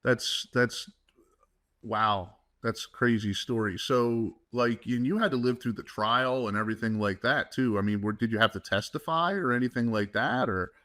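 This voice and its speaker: A man's voice: medium pitch, normal speed, sharp tone. Casual male podcast interviewer. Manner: informal, reacts and asks follow-up questions. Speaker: podcast host.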